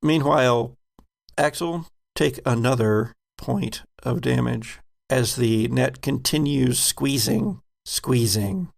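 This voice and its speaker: Gravelly-voiced man running a game. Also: medium pitch, slow pace. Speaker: game master. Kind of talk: improvised narration of a tabletop game.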